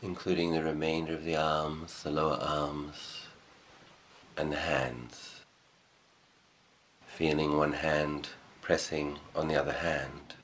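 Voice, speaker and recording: A man leading a guided meditation as a teacher, speaking calmly and in measured short phrases with long pauses. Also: extremely low pitch, slight background noise.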